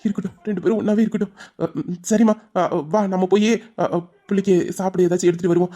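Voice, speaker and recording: A woman plays a kindly elder brother in an audio melodrama. The speech is extremely quick and mid-pitched, with a caring, slightly halting manner. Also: fairly even intonation, warm tone, lightly acted. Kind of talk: melodramatic Tamil audio drama.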